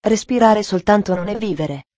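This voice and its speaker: A woman's voice: mid-range voice, normal speed, warm tone, no echo, lightly acted. A woman doing an eager chattering little girl. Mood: pleading. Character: cheerful talkative young girl.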